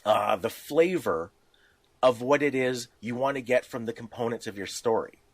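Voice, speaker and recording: A man's voice: mid-range voice, moderate pace, no echo. A man co-hosting a casual podcast, explaining things emphatically and posing rhetorical questions. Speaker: podcast host.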